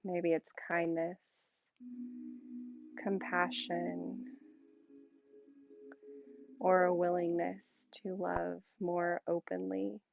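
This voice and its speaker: A woman yoga instructor leading a guided meditation calmly and soothingly, in short phrases with long pauses, on a muffled recording. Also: fairly low pitch, creaky.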